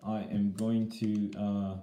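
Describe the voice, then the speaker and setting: A man live-streaming a casual vlog, slightly hoarse after being sick, talking haltingly with many fillers and repeated words. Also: on the low side, level tone, mellow, echoey room. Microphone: iPad camera.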